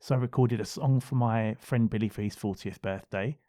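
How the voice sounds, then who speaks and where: A man talking as a podcast guest in casual conversation, his voice going husky, rambling with many restarts. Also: fairly low pitch, a little fast, warm tone, dry recording.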